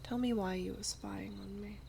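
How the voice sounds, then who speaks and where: A woman playing an ageless, gently teasing, wistful elven maiden in an ASMR roleplay, speaking softly and half-whispered with archaic, unhurried phrasing. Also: mid-range voice, steady tone, noisy background. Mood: teasing, curious. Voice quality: soft, breathy, half-whispered. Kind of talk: ASMR roleplay, slow intimate storytelling.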